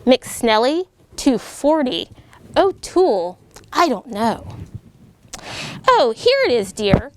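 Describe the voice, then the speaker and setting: A middle-aged American woman, a military officer, reading a children's picture book aloud with lively, animated delivery and voiced character lines. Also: on the high side, slow, long pauses. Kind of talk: storybook read-aloud.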